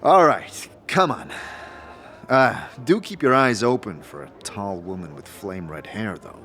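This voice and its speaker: An actor playing a laconic, dry-humoured and somewhat hesitant wanderer in an audio drama. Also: male voice, lowish voice, expressive, unhurried, a bit bright. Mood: dry, resolved. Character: weary, dry-humoured male wanderer, curious and polite. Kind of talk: scripted audio drama acting.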